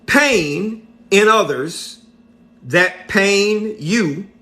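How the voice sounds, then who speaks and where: A middle-aged man speaks on a livestream with a slightly high voice. He talks very slowly and deliberately, as a motivational speaker. He drives his points home by repeating them and asking "right?" again and again. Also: animated, pushed and bright. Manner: preachy, repeats points, asks "right?" often; slow and deliberate.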